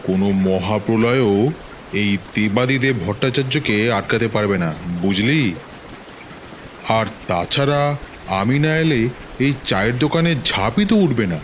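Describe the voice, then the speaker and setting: A man's voice: mid-range voice, lively intonation, slight background noise, close to an everyday voice. A man playing a self-assured middle-aged village tantric, telling a horror tale dramatically in a West Bengal accent. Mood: confident, teasing. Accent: Bengali from West Bengal, India. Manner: boastful, dramatic, unhurried storytelling. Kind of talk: dramatized horror story narration.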